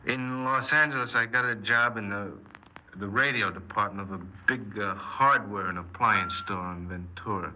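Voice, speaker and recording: A man's voice: fairly low pitch, normal speed, pushed and bright, highs cut off. An actor playing a sullen, aimless young man confessing his crimes in an offhand, hesitant way, in 1950s radio drama style. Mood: hesitant, subdued. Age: young adult. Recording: noisy old 1950s radio recording.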